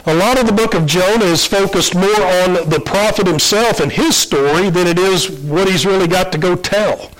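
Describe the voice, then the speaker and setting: A man preaching a conversational Bible lesson, congested from allergies and clearing his throat now and then. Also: high-pitched, normal speed, few pauses, poor audio. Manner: conversational, with fillers and restarts. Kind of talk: Bible teaching sermon.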